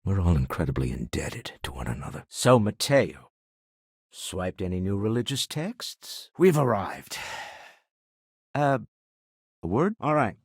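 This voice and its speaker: An older man playing a wealthy, pragmatic businessman in a video game, speaking in an articulate, wry, measured way. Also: lowish voice, sing-song, creaky, husky, dry recording, clean studio sound, heavily acted. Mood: composed, urbane. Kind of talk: video game character dialogue.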